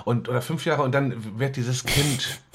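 A man's voice: medium pitch, fairly even intonation, moderate pace, slight background noise. A man podcast host chatting casually, rambling and correcting himself as he explains things. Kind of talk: casual chat.

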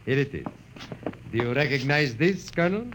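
An actor playing a suave, sinister doll-maker and blackmailer in an old-time radio drama, speaking in a silky, menacing, theatrical way. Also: male voice, medium pitch, lively intonation, normal speed, close to an everyday voice. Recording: old radio recording with faint hiss.

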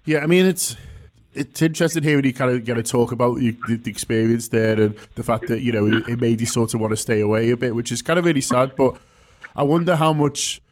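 Young host, talks fast, lots of "sort of" and "kind of", careful questions. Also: male voice, medium pitch. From Liverpool, England. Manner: thoughtful, hedging with "sort of" and "kind of". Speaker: podcast host. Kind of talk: interview questions.

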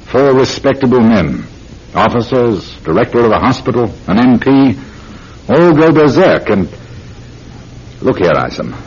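A man acting in a radio drama as a harried, gruff senior police inspector, brusque and authoritative. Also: medium pitch, very animated, unhurried, pauses often, darkish tone. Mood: urgent, commanding. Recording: dull radio drama recording.

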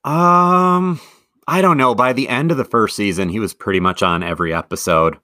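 A man co-hosting a casual sports podcast, chatty and self-deprecating, quick to laugh. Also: medium pitch, bright, forceful tone, no echo, studio quality. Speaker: podcast co-host.

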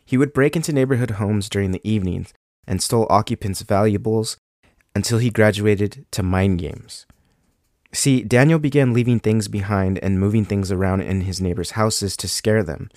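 Young male true crime podcast host, under the weather, casual and halting, lowish voice, dry close mic. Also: darkish tone, no room sound. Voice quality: deeper than usual from illness. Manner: casual, with ums and likes. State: sick with a cold.

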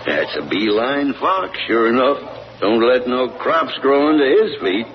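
An actor playing a weary rural farmer father just out of prison, in a slow folksy drawl, in 1940s radio acting style. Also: medium pitch, sing-song. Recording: noisy lo-fi old radio recording.

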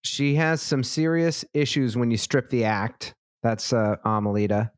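A man co-hosting a podcast in casual chat, relaxed and swearing freely as he reads out listener responses. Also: medium pitch, measured pace, dry recording, clean studio sound. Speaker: podcast co-host. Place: lives in a big city.

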